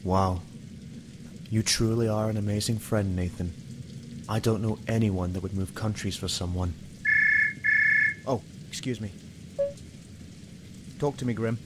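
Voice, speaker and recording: A man's voice: on the low side, fairly even intonation, unhurried, pauses often, very noisy, close to an everyday voice. A man playing a driven, hot-tempered police detective in an earnest, dramatic amateur radio play. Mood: sympathetic, calm.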